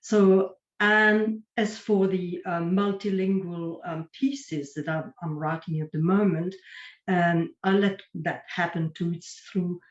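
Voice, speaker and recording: A woman whose first language is French, a guest writer answering interview questions in a halting way, often searching for words. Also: on the low side, steady tone, echoey room, lo-fi sound. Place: home video call. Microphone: computer microphone on video call.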